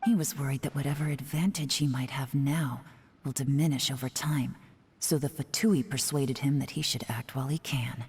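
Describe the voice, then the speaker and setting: A woman voicing a cool, composed female spy in a game, with dry, hushed, wry asides. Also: extremely low pitch, steady tone, normal speed, a little hiss or hum.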